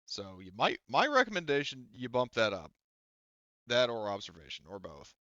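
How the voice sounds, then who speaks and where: A man's voice: medium pitch, wildly swooping pitch, pushed and bright, no room sound, muffled recording. A man running a tabletop game as game master, talking casually and correcting himself as he explains the rules. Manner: halting, self-correcting explanations. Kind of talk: casual tabletop gaming chat.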